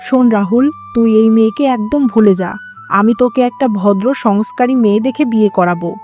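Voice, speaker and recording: A woman's voice: fairly high pitch, fairly quick, mellow, faint music behind, natural voice. A woman voicing a scolding, traditional middle-aged mother-in-law in a cartoon drama, lamenting and dramatic. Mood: stern.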